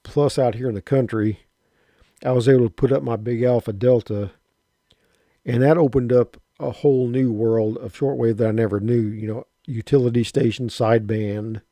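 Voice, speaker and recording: A man in his late fifties telling a rambling, halting personal story about his radio hobby, often restarting his sentences. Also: medium pitch, steady tone, warm tone, dry recording. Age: 50s. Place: rural home.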